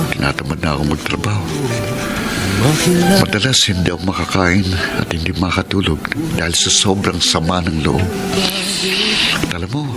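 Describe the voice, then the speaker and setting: Man acting a deep-voiced, heartbroken radio-drama husband. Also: natural voice. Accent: Filipino. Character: heartbroken husband left by unfaithful wife, wooing a friend. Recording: loud music behind radio drama dialogue.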